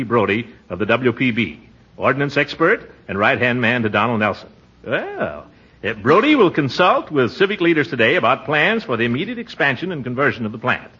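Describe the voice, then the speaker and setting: A blustery, self-important middle-aged American uncle from 1940s radio comedy. His husky voice swoops widely up and down as he chuckles and flusters. The old recording is dull. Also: medium pitch, sharp tone, heavily acted. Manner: pompous, flustered, given to chuckling.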